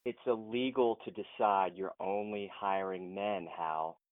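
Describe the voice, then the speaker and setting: An actor playing a frustrated, principled film producer in an audio drama, earnest and insistent, heard over a thin phone line. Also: male voice, lowish voice, fairly even intonation, unhurried, no echo, natural voice. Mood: defiant. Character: frustrated, principled male film producer challenging his boss. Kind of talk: modern audio drama acting.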